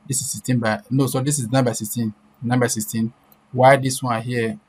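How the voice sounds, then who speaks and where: A man's voice: mid-range voice, steady tone, normal speed, darkish tone. A man presenting an informal step-by-step screen-share tutorial, repeating himself and often checking in with short questions. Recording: clear background noise.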